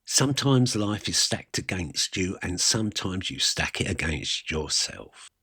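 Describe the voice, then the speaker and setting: A man with a husky voice, telling a first-person story in a wry, colloquial, self-deprecating way. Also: low-pitched, very animated, unhurried, pushed and bright, no room sound. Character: wry working-class South London man reminiscing about his youth.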